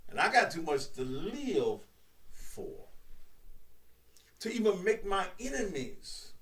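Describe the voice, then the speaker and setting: Slow-talking 60s baritone preacher man. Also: sing-song, fairly bright tone, distant mic, poor audio. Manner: slow, emphatic, drawn-out preaching cadence. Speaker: radio host and preacher. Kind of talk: preachy live-stream talk.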